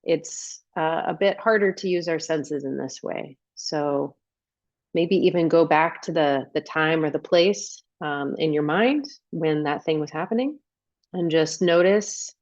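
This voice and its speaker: A woman leading a guided meditation as a coach, giving gentle instructions and unhurried invitations with pauses. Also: low voice, no echo, highs cut off.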